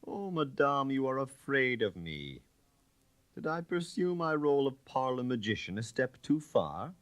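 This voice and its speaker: Man voicing a suave, theatrical young gentleman thief. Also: medium pitch, big pitch swings, measured pace, dry recording, pitched above the performer's own voice. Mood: teasing. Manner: courteous, theatrical, teasing.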